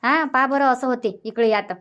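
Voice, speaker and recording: A woman's voice: high-pitched, moderate pace, fairly bright tone, muffled recording. A woman playing a fretful, regretful village sister in a cartoon comedy, plaintive and repetitive, with a Varhadi Marathi accent. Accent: Varhadi Marathi of Vidarbha. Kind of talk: dialect cartoon comedy dialogue.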